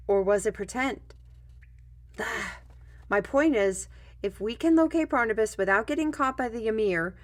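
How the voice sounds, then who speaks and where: Casting: woman as a tired fantasy artist and storyteller, unhurried delivery, mid-range voice, dry and musing. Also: mid-range voice, fairly bright tone. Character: weary adult female fantasy artist, wry storyteller. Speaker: voice actor in a fantasy audio roleplay. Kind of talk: in-character storytelling and dialogue in audio drama.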